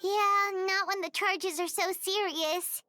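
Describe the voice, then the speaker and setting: A woman voicing a tiny, earnest, chirpy childlike companion with a squeaky voice in a video game. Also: fairly even intonation, slow pace, bright, forceful tone, soft background music, exaggerated voice.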